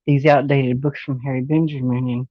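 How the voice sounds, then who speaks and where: A woman's voice: rumbling low, level tone, moderate pace, no echo, muffled recording. A woman in her forties giving a casual, rambling personal monologue, often circling back to repeat points. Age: 40s. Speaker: vlogger sharing personal experience.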